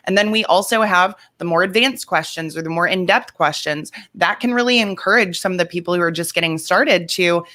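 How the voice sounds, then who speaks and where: A woman hosts a conversational interview as a business coach. Her voice is fairly low for a woman, with a bright, forward edge. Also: a little fast, few pauses. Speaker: host interviewing a client.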